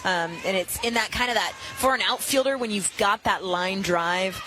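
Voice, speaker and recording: American woman giving softball color commentary, music behind. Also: mid-range voice, normal speed. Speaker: color analyst. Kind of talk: conversational live softball analysis.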